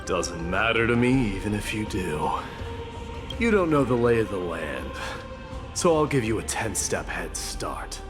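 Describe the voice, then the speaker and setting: An actor playing a proud, gruff warrior prince in a video game, speaking in a solemn, haughty, terse way. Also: male voice, fairly low pitch, sing-song, measured pace, over music. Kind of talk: scripted video game voice acting.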